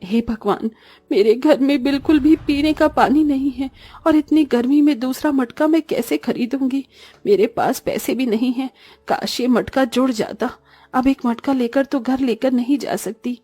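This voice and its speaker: A woman's voice: high voice, normal speed, warm tone, slightly rough, no echo. A woman voicing a poor, polite village auntie with a plaintive manner in a cartoon story. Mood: worried.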